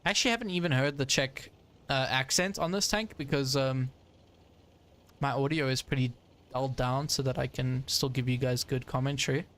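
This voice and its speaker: A man's voice: medium pitch, normal speed, fairly bright tone. A young man giving casual live commentary while playing a tank game, chatty with frequent fillers and frustrated asides. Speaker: gaming streamer.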